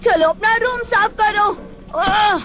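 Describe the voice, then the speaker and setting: A woman's voice: extremely high pitch, slow. A woman voicing a mischievous, whiny young boy in a Hindi-dubbed cartoon, with exaggerated childlike delivery.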